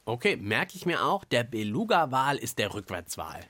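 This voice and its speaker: Lively chatty man co-hosting a kids' podcast. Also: mid-range voice, moderate pace. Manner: playful, thinks aloud. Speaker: co-host of a children's science podcast. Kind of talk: casual, chatty banter.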